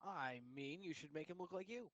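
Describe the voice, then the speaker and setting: Animated man with a highish voice. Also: normal speed, no echo, highs cut off. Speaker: co-commentator on a game playthrough. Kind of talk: casual let's-play banter.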